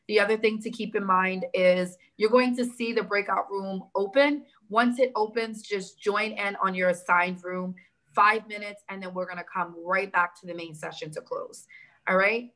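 A woman in her thirties with a New York accent runs a community meeting over a video call. Her delivery is brisk and organized, and she keeps people moving along with steady encouragement. The sound comes through a laptop webcam mic in an indoor room. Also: mid-range voice, poor audio. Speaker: town hall host. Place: indoor room with big windows.

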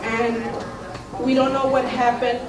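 A woman talks in a slow, solemn, somewhat high voice, heard from a distance. The room echoes heavily around her, and a crowd murmurs behind. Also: little pitch movement.

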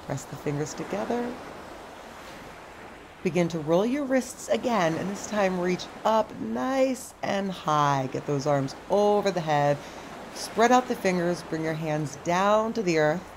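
A middle-aged woman with a slightly low voice calmly guides a stretching routine, one cue at a time. She is recorded outdoors on a beach from a camera set some way off. Clear ocean surf rolls behind her. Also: background noise. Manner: calm step-by-step cueing. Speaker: stretch instructor. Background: ocean waves.

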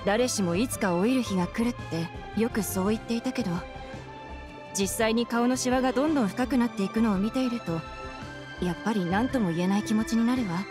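An actress playing a polite, caring young woman in a video game, with a gentle and earnest manner. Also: a little high, a little fast, music in the background, lightly acted. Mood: worried, wistful. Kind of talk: Japanese video game voice acting.

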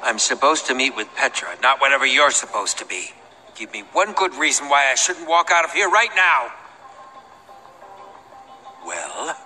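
A man's voice: medium pitch, very animated, normal speed, pauses often, sharp tone, soft background music, rough recording. An actor playing a suspicious, haughty man in a video game, with a curt, imperious and disdainful manner. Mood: haughty, challenging. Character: suspicious, haughty bearded man making a shady deal.

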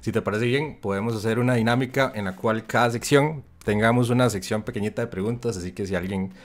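A man with a Rioplatense accent hosting an online interview, asking short relaxed questions. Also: fairly low pitch, fast talker. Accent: Rioplatense Spanish. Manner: relaxed, brief prompts and questions. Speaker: interview host. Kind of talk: casual interview chat.

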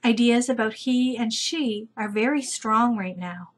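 A woman reading a children's picture book aloud as a storyteller, with deliberate, careful phrasing. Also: on the high side.

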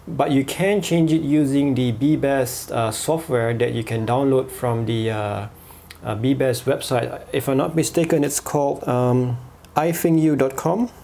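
A man reviewing a walkie-talkie at a desk, pausing often with fillers and restarts. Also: medium pitch, gravelly, warm tone. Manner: halting, many fillers and restarts. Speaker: gadget reviewer. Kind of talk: product review voice-over.

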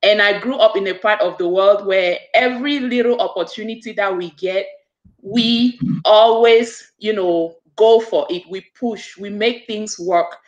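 A woman's voice: medium pitch, unhurried, highs cut off. A woman in her forties from Cameroon, a business coach and author, giving an earnest, motivational account of herself as a guest on a video call. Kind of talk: interview guest telling her story. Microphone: webcam on a video call. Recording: echoey room over a video call.

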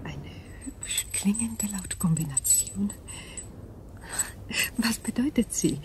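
A woman playing a calm alien crystal creature in a radio play, speaking in measured, formal, precise phrases. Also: mid-range voice, whispered. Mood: calm, curious. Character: calm alien crystal being, a female-voiced growing coral creature. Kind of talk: German radio play acting.

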